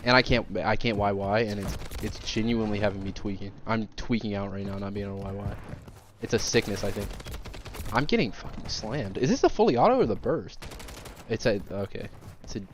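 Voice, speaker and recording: A man's voice: lowish voice, brisk, slight background noise. A young man with a nasal, congested voice, chatting casually while playing a shooter, rambling with many fillers and restarts. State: congested from sickness. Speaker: gaming streamer.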